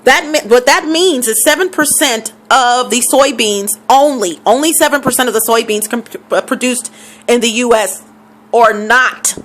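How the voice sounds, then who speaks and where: Woman vlogger, fairly high voice, emphatic and repetitive, scratchy throat. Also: normal speed, a bit bright. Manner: emphatic, repeats figures for stress. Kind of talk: casual talk to camera.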